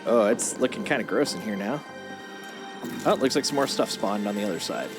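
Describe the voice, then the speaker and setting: A woman's voice: very deep voice, lively intonation, brisk. A woman doing casual live game commentary in a playful, exclamatory way, given to sing-song whoops. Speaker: game streamer. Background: loud game music and sounds.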